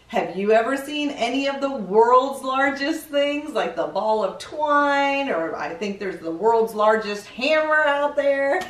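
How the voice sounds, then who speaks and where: A middle-aged woman hosting a show for young children, chatty and playful, often putting questions to the viewers. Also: on the high side. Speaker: children's story show host. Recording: roomy echo, distant mic.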